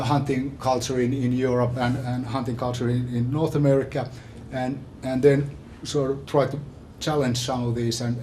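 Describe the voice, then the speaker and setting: A man with a strong Finnish accent giving an informal academic lecture, haltingly, often repeating words and phrases. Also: mid-range voice, a little mellow, a little hiss or hum. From Finland. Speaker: visiting scholar giving a lecture.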